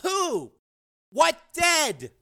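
A man's voice: very high-pitched, wildly swooping pitch, drawn-out words, creaky, voice pushed up, heavily acted. A man voicing a desperate older brother in an audiobook, pleading insistently and calling out. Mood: urgent. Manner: urgent, insistent, calling out loudly. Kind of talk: audiobook character acting.

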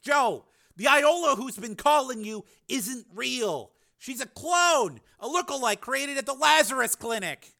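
A man's voice: very high voice, lively intonation, unhurried, creaky, voice pushed up, full character voice. A man voicing a desperate older brother in an audiobook, pleading insistently and calling out. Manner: urgent, insistent, calling out loudly. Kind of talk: audiobook character acting.

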